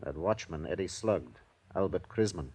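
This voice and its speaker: Low-voiced American police detective. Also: male voice, lively intonation, normal speed, a little mellow, husky, heavily acted. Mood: grave. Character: steady, sympathetic male police detective at headquarters. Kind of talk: 1950s radio drama acting.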